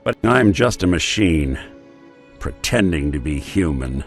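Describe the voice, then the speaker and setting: An actor playing a world-weary male synth detective in a game, talking with a gruff, laconic noir drawl. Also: deep voice, expressive, measured pace, faint music behind, full character voice. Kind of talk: game companion dialogue.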